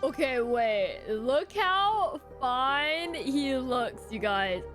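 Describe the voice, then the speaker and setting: A young woman reacts casually to a game on stream. Her voice is fairly high and very animated, gushing in short exclamations. Faint game music sits behind her. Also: extremely slow.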